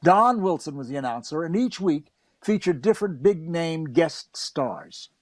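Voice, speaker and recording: A man's voice: on the high side, expressive, a little slow, no echo. An actor playing a wry comedy writer, narrating his memories conversationally in a scripted radio play. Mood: wry, reminiscing.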